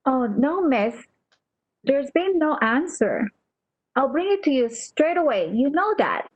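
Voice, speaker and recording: An actress plays a loyal, soothing nurse in a radio drama, speaking very slowly in a fairly high voice. She is deferential and reassuring, and the recording is a little dull. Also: very animated, no echo. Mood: evasive, reassuring. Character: faithful, soothing, deferential female nurse hiding bad news. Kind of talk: radio play acting.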